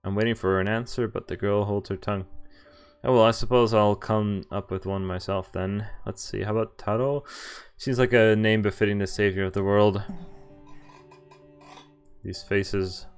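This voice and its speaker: A man's voice: lowish voice, fairly even intonation, normal speed, clear, resonant tone, faint music behind. A man streaming a visual novel, reading its dialogue and narration aloud and breaking off with casual, laughing asides. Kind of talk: game playthrough, reading lines aloud with commentary.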